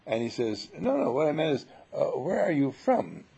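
A man giving an informal spiritual sermon full of rhetorical questions, emphatic and dramatic, with a husky, gravelly voice. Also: mid-range voice, huge pitch swings, normal speed, dark tone, muffled recording. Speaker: spiritual teacher.

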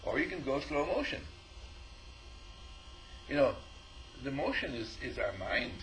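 Man, spiritual teacher, animated storyteller, mid-pitched voice, faint background hiss. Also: measured pace, poor audio. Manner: animated storyteller, acts out dialogue, chuckles often. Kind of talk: informal spiritual talk.

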